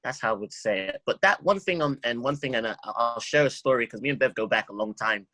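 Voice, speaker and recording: A man speaking as a songwriter guest in an interview, reflective and halting, often repeating words as he thinks. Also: medium pitch, expressive, sharp tone, dry recording.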